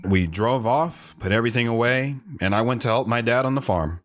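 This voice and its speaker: A man's voice: medium pitch, a little fast, faint music behind, natural voice. A man playing an earnest, lovestruck lone wanderer recounting his life in a reflective, sentimental audio drama style. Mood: wistful, reflective. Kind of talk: dramatic first-person audio drama narration.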